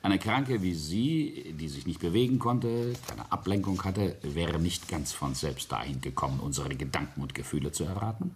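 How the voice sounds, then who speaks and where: An actor playing an arrogant middle-aged family patriarch in a radio play, deliberate and self-justifying, with a husky, gravelly voice. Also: male voice, low voice, lively intonation, a little hiss or hum. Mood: bitter, self-justifying. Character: arrogant, coldly defiant family patriarch confessing a murder.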